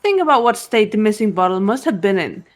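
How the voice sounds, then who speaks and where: A woman voicing a calm, cool-headed young female detective who lays out her reasoning in a fan dub of a game. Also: medium pitch, soft background music, close to an everyday voice. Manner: measured, explanatory.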